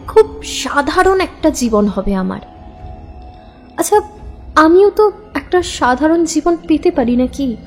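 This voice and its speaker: A weary young woman confides her troubles in an audio story. Her voice is high and she speaks slowly, lingering plaintively over her words, with music underneath. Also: animated, darkish tone, exaggerated voice. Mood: wistful. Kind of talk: audio story acting, intimate monologue.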